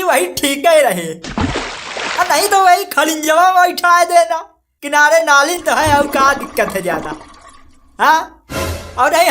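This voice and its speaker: A woman playing a sly, meddling village neighbour in a cartoon comedy, coaxing and insistent. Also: high-pitched. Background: music.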